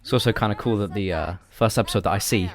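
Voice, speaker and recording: A man chatting casually as a guest co-commentator on an animated series. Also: on the low side, fast. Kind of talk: casual commentary track chat.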